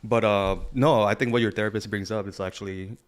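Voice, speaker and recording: A man co-hosting a podcast in casual chat, easygoing, laughing along and giving quick agreeing replies. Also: lowish voice, steady tone. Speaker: podcast co-host.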